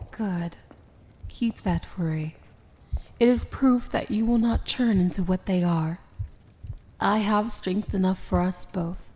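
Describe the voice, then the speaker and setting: A powerful dark fantasy princess, voiced by a woman, speaks very slowly in a mellow, creaky voice. She is tender and solemn, with formal, poetic phrasing that often slips into a whisper, in the style of romantic ASMR roleplay. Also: mid-range voice.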